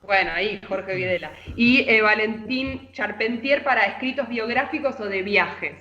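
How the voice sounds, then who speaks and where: A woman's voice: mid-range voice, normal speed, sharp tone. A woman with an Argentine accent giving a chatty, informal radio column full of anecdotes as a literary columnist. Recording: echoey, slightly dull remote audio.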